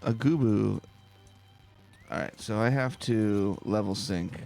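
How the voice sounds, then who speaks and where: Creaky-voiced man doing calm game commentary. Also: on the low side, slow, faint music behind. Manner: casual, talks to himself while playing. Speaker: let's play host. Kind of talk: calm, slow-paced gameplay commentary.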